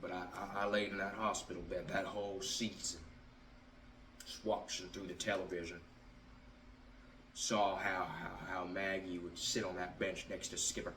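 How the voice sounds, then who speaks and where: A man's voice: low-pitched, level tone, normal speed, fairly bright tone. Man acting a bitter Southern ex-athlete, roomy sound. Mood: resentful. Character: bitter drunken Southern ex-athlete son confronting his father. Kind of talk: stage monologue performance. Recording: roomy, distant mic, faint noise.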